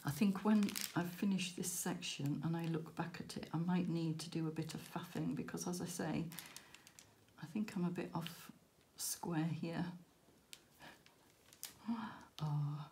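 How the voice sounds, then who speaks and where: A woman hosting a crafting vlog, croaky and tired after a late night, rambling and self-deprecating with frequent laughs. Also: fairly low pitch, steady tone, a little slow, warm tone, a little hiss or hum. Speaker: crafting channel host. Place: indoors at a crafting table.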